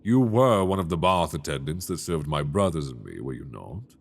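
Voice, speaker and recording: A man voicing a possessive, teasing dragon king in human form in an ASMR audio roleplay, speaking slowly and intimately into a binaural mic. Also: big pitch swings, exaggerated voice. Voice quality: deep, gravelly. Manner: slow, intimate, teasing, soft-spoken.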